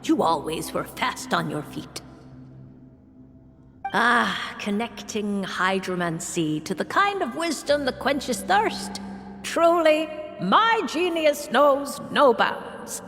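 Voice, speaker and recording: A woman voicing a smug, self-admiring genius sorceress, haughty and scholarly, reading as an anime-style game voice-over. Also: mid-range voice. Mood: self-satisfied. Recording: echoing reverb, faint ambient noise.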